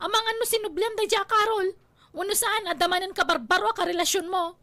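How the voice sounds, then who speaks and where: A woman's voice: very high-pitched, fairly even intonation, fairly quick, pushed and bright, no room sound, exaggerated voice. A woman acting a jealous, suspicious young wife in a Filipino radio drama, with an Ilocano accent and a pleading, nagging manner. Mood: angry, accusing.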